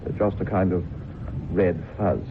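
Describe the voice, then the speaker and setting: An actor playing a guarded, matter-of-fact officer in a vintage radio drama. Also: male voice, sits low, fairly even intonation, unhurried. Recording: noisy, narrow-band old radio recording.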